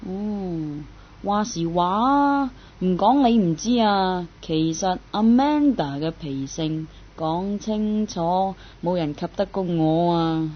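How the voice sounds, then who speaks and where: A woman's voice: fairly low pitch, lively intonation, slow pace, muffled recording. A woman acting a boyish, jealous young woman in a radio drama, with a pointed, probing manner and a Hong Kong Cantonese accent. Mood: suspicious, possessive.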